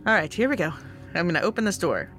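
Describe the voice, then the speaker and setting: Bright-voiced woman playing a tabletop game. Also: lowish voice, sing-song, fast talker, soft background music. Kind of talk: casual chat during a tabletop game.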